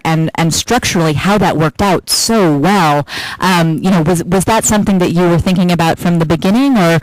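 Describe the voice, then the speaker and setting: A woman's voice: fairly low pitch, no room sound, poor audio. A woman asking a long, reflective audience question in a spontaneous, wordy way with many filler phrases.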